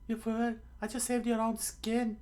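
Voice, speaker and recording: A woman's voice: on the high side, completely flat delivery, unhurried, mellow, voice pushed up, natural voice. A woman voicing a hot-tempered, greedy young female thief who scolds indignantly in an adventure story. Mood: angry scolding.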